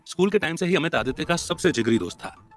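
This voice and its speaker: A man's voice: fairly high pitch, expressive, fast. A man narrating a scripted dramatic story as an audio storyteller. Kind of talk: scripted dramatic story narration in Hindi mixed with English words. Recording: narration over background music. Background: background music.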